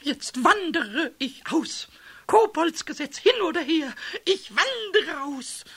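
An actor playing a cheeky, sulky, childlike little male kobold with a squeaky voice and whiny, repetitive speech in a German children's radio play. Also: very animated, slow, background noise, full character voice. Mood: tearful, self-pitying. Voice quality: high, squeaky, piercing. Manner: whiny, stammering repetitions, singsong rhyming.

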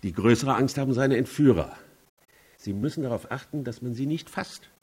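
An actor playing a composed, matter-of-fact man in a radio play, speaking huskily and deliberately. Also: mid-range voice, a little mellow, breathy edge, lightly acted. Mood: calmly explaining. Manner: deliberate, explanatory. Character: composed male family lawyer, measured and matter-of-fact.

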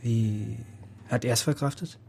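Police colleague, soft dark tone, terse, quietly curious, music underneath, radio crime drama. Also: male voice, medium pitch, fairly even intonation, slow pace, gravelly. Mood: subdued.

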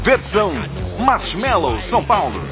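A man announcing the dubbing credits in a slow, emphatic way. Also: high voice, big pitch swings, loud music behind the voice.

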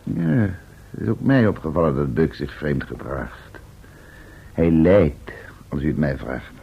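A man's voice: very deep voice, lively intonation, measured pace, gravelly, breathy edge, highs cut off, full character voice. A man acting a wry, bookish assistant with an articulate, florid way of talking in an old radio drama. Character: wry, bookish male expedition assistant who writes the chronicle.

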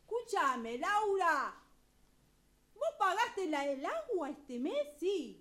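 A nagging, fed-up young woman with an Argentine accent, played by a woman in a radio drama workshop. Her voice is very high and swings widely as she hurries and scolds her roommate. It is an improvised radio play. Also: big pitch swings, slow, fairly bright tone, heavily acted. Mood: questioning. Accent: Argentine Spanish. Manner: bossy, nagging, calling out.

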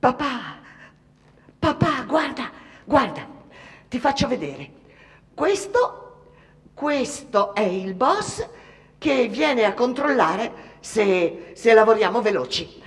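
An actress playing a bold, brash young Italian immigrant factory girl in an animated radio drama monologue. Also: a little high, slow, pauses often, in a large hall. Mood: mocking, spirited. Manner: animated, boastful, mimicking others. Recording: music behind the voice.

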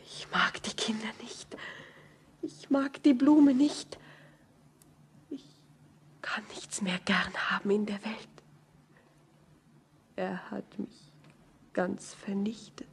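An actress playing a grief-stricken, numbed young bride in a poetic radio drama, speaking frailly and often dropping into a breathy whisper. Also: female voice, medium pitch, slow pace, pauses often, slight background noise. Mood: broken, hushed.

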